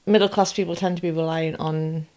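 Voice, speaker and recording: A woman's voice: on the low side, fairly even intonation, fairly quick, a little mellow. A woman, explaining in casual podcast chat, often checking that her listener follows. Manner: explains with lists and comparisons, asks if it makes sense. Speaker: podcast co-host.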